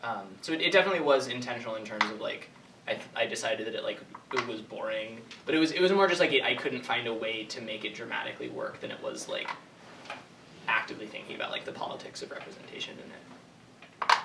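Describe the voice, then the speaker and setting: A young man answering questions informally as a writer, haltingly thinking aloud and hedging as he goes. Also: mid-range voice, expressive. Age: young adult. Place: indoor room with an audience. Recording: echoey room from far off, clear background noise.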